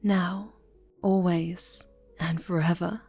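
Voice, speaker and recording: Woman acting a low, slow, possessive dark-angel villainess. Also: fairly even intonation, faint music behind, heavily acted. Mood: adoring, intense. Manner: breathy half-whispered, slow, menacingly tender. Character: possessive, obsessive dark-angel superheroine turned sinister villain. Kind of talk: ASMR roleplay, intimate dramatic monologue.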